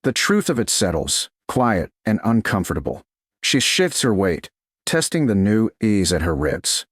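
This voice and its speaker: A man reading a slow-burn romance story aloud in a slow, hushed, deliberate manner. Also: lowish voice, big pitch swings, no room sound, clean studio sound. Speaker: narrator. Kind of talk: scripted romance narration.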